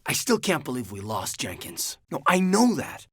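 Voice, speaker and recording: A dutiful space marine officer speaks in a husky, close and dry voice. His delivery moves expressively between guarded and earnest, with halting turns, as in dramatic video game dialogue. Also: mid-range voice, wildly swooping pitch, no echo. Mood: earnest, reflective. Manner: hesitant, earnest, halting when personal. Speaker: video game character voice actor.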